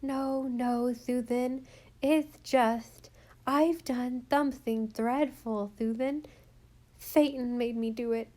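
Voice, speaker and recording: A small girl lisps through her words, slow and pleading, pitched high and bright. A woman acts her out in a storybook reading. Each line comes earnest and eager to be good. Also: fairly even intonation. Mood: tearful pleading. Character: small lisping little girl, earnest and remorseful.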